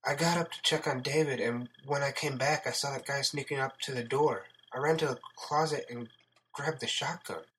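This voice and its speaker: A man's voice: medium pitch, moderate pace, fairly bright tone, a little hiss or hum, voice pushed up, lightly acted, low-quality recording. A man voicing a shaken, pleading woman in an audiobook story, hesitant and distressed. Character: shaken, pleading woman who has just shot an intruder.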